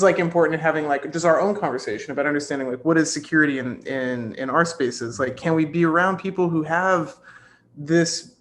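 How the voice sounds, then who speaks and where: A man's voice: a little high, a bit bright, slight background noise. A man hosting a casual group discussion, thoughtfully posing open questions to the others. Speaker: podcast discussion host.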